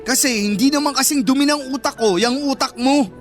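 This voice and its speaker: Exasperated, very high-pitched teenage boyfriend. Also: male voice, brisk, soft background music. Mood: irritated, defensive. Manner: exasperated, emphatic, scolding. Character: fed-up teenage boyfriend, exasperated but protective. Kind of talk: Filipino radio drama acting.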